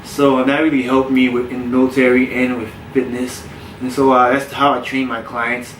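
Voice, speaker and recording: A man who grew up in South Florida, a personal trainer telling his story in a relaxed, candid way with frequent restarts. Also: medium pitch, moderate pace, slight background noise. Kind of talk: casual podcast interview. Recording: roomy, distant mic with faint ambience.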